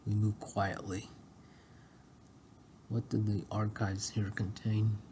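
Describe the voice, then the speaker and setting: A man reading scripted dramatic dialogue in a deliberate delivery, phrase by phrase. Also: on the low side, little pitch movement, dark tone, slight background noise. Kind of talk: scripted dialogue read-through.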